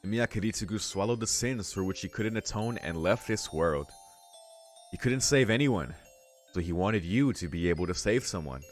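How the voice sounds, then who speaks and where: A man reading a visual novel aloud as a let's play host, in measured narration with casual, slangy asides. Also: on the low side, animated. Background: music.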